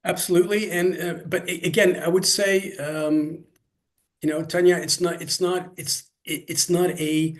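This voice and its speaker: A man's voice: fairly high pitch, steady tone, normal speed. A man who grew up in Canada, speaking as a guest in a casual interview, thoughtful and often restarting his sentences. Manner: thoughtful, many restarts and repeated words. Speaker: interview guest, cross-cultural coach. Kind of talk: conversational interview.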